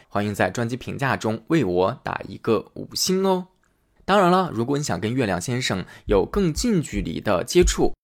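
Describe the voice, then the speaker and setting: A man hosting and reading a fairy tale aloud in an expressive storytelling manner. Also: medium pitch. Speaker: storyteller host.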